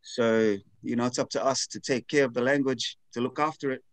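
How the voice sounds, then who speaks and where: A man's voice: medium pitch, fairly even intonation, brisk, dry recording. A man with a mid-range voice talks as a podcast guest. His voice is recorded close and dry. He sounds earnest and candid, with a self-deprecating touch. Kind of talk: casual podcast chat.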